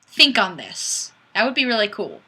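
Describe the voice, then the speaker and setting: A woman hosting a casual live stream, chatting and reading comments in a goofy, animated, exclamatory way. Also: medium pitch, moderate pace, slightly rough. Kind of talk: casual chat, reading viewer comments aloud.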